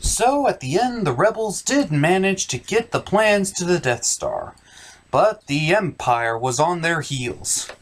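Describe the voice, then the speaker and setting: Sardonic review host, highly animated delivery, emphatic scripted comedy narration. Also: a little slow.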